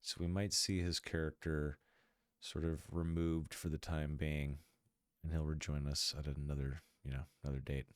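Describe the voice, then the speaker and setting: A man's voice: a bass voice, flat delivery, moderate pace, smooth, no room sound, studio quality. A man with a stuffy nose from a cold hosts a casual chat before a tabletop game, laid-back and joking. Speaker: host. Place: dark room at home.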